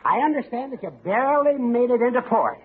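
An actor playing a teasing, wordy older judge in a florid 1940s radio comedy manner. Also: male voice, extremely high pitch, lively intonation, fairly quick, husky, heavily acted. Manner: florid, needling. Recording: dull, lo-fi old radio recording.

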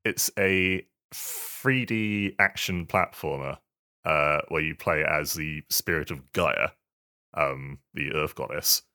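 A man with an extremely low voice and a bright, forward edge chats casually as a podcast co-host. He speaks haltingly, often doubling back on his words as he describes things. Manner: hesitant, halting delivery with repeated words. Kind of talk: casual video game podcast chat.

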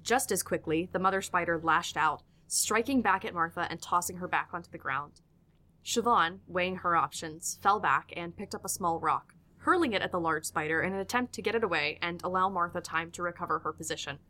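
A woman's voice: lowish voice, fairly quick, sharp tone. A woman reading scripted narration for an audiodrama as its storyteller.

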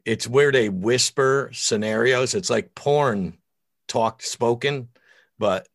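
A middle-aged American man with a deep voice, chatting casually and bluntly on a podcast as an opinionated, foul-mouthed guest. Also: slow, no room sound, clean studio sound. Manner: opinionated, blunt, crude joking. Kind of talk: casual, profane podcast chat.

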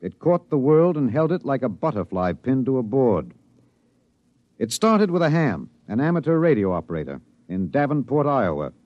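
A man's voice: medium pitch, sing-song, normal speed, dry recording, natural voice. An actor playing a driven, candid radio newsman and narrator in a 1950s radio drama. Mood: grave, dramatic. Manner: off-the-cuff asides, then grave dramatic delivery. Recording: dry, dull old radio recording.

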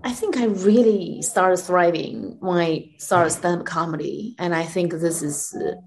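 A woman with a Chinese accent talks candidly from her office over a video call. She is blunt and swears freely, with plenty of fillers. Her voice sits on the low side. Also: measured pace, gravelly, a little mellow, rough recording. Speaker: comedian and actress, interview guest. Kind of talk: casual interview chat. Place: her office at a comedy club.

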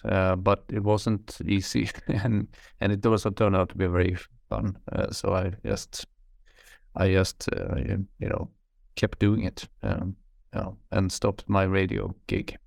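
A man with a Swedish accent, an academic guest answering interview questions in a casual, halting, informal way. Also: low-pitched, vocal fry, dry recording, clean studio sound. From Sweden, countryside.

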